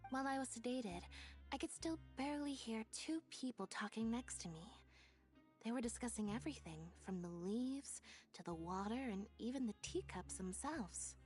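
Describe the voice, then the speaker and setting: A woman voicing a gentle, thoughtful young woman in a video game, speaking in a reflective manner. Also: fairly high pitch, animated, normal speed, faint music behind, natural voice.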